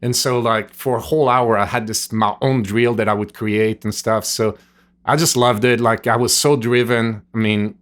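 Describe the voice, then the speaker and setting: A man's voice: medium pitch, fairly even intonation, moderate pace, fairly bright tone. A middle-aged man from Switzerland, a native French speaker with a Swiss French accent, earnestly telling his life story as a podcast guest, rambling with "like" and "you know". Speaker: guest, nutrition store owner. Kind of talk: storytelling interview answers. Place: gym.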